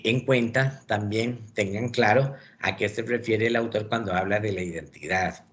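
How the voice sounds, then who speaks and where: A man's voice: fairly low pitch, fairly bright tone. A man with a Costa Rican accent giving an online literature tutoring lecture, explaining patiently and often checking in with "¿verdad?". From Costa Rica. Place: online meeting recording. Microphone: computer mic in a video call.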